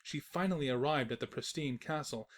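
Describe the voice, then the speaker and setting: A man's voice: mid-range voice, a little fast, a bit bright. A man narrating a scripted comic story in a storytelling manner with wry asides.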